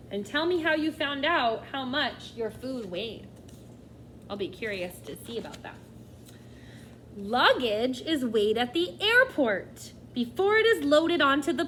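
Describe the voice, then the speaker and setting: A woman's voice: high voice, a bit bright, a little hiss or hum. A woman teacher reading a nonfiction book aloud to children, expressively, often addressing them directly with questions and asides.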